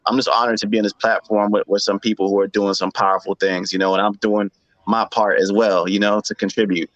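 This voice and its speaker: A man's voice: fairly low pitch, little pitch movement, brisk, fairly bright tone, dry recording, lo-fi sound. A man joining an online panel as a guest, speaking gratefully with many "you know"s. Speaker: guest, artist. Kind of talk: panel discussion.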